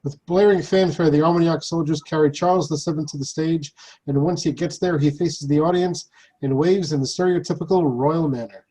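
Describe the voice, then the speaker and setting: A man's voice: fairly high pitch, fairly quick, warm tone, slightly rough, low-quality recording. A man narrating stage directions in a scripted, matter-of-fact reading style. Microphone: video call.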